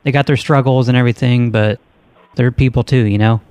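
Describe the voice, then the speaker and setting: A man hosting a prank call show in a casual, deadpan and insistent way. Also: medium pitch, a little fast, clear, resonant tone, no room sound. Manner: casual, insistent, straight-faced.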